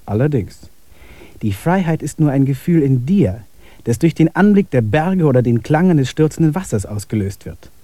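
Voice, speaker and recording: Skeptical guy in a radio play, animated voice, warm tone, dry studio sound. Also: a little high, normal speed, no echo. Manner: skeptical, rational, lively.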